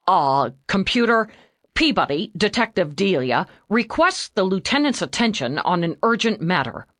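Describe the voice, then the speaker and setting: A woman's voice: medium pitch, very animated, moderate pace, fairly bright tone, no room sound, clean studio sound. A woman voicing an earnest female police detective, the partner of her lieutenant.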